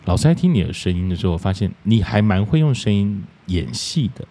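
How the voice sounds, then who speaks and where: A man with a low voice hosts a relaxed interview chat. He is playful and joking, often repeating a phrase as he questions his guest. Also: a little fast. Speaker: podcast host.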